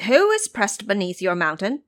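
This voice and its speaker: A woman's voice: mid-range voice, wildly swooping pitch, moderate pace, a bit bright, no echo, clean studio sound. A woman voicing a stern, knowing male guardian god who explains and cautions emphatically in an audio drama. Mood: stern, warning.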